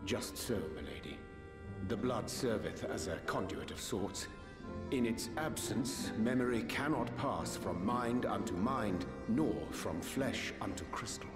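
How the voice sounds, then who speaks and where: A man voicing a grave, scholarly sage in a video game, speaking in formal, deliberate archaic English. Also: lowish voice, animated. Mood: solemn, courteous. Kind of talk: scripted video game voice acting. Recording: echoey hall reverb under music.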